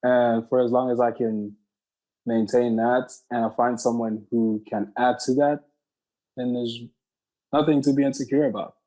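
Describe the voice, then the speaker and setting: Reflective young man pausing as he thinks. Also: mid-range voice, fairly even intonation, dark tone, rough recording. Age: 20s. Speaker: panel guest. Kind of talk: casual group discussion.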